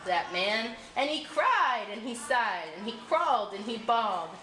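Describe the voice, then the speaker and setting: A woman reading a scripted puppet show story aloud as narrator, with expressive delivery. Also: a little high. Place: school room. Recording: roomy room sound with faint noise.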